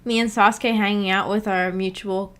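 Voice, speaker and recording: Female livestreamer, mid-pitched voice, giggly and rambling, reading and answering chat casually. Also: fairly even intonation, moderate pace, a bit bright, clear, resonant tone. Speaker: streamer, book club host. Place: indoor home streaming setup.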